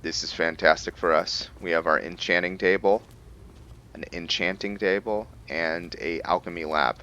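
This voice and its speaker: A man giving casual commentary on a roleplay video game, halting as he thinks aloud and explains the lore. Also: low voice, fairly even intonation, bright, forceful tone, lo-fi sound. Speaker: gamer narrating a roleplay playthrough.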